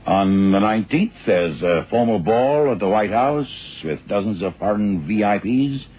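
A man playing a businesslike, anxious government official in a radio drama, speaking crisply and earnestly. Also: fairly low pitch, animated, moderate pace. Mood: earnest, concerned. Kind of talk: 1960s-70s radio drama acting. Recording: dull, noisy old radio recording.

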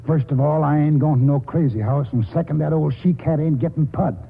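A man's voice: on the high side, fairly quick. An older American man acting a stubborn, wily country grandfather in a radio drama, with folksy, rambling speech. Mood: stubborn, bargaining. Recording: muffled, husky-sounding vintage radio broadcast.

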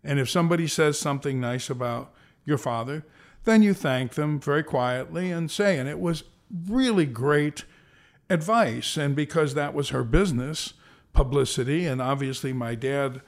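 A man's voice: on the high side, moderate pace. A man in his sixties, an interview guest telling rambling, self-correcting anecdotes about his childhood and career. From American Southwest. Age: 60s. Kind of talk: reflective storytelling.

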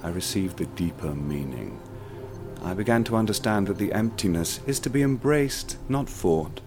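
A sinister male cultist tells his tale in a calm, measured way. His voice sits very low. Loud brooding music runs under every word. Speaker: narrator.

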